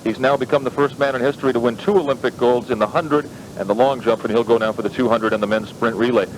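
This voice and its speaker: American radio sports correspondent on noisy link. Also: male voice, medium pitch, steady tone, fast talker. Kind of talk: live radio news report.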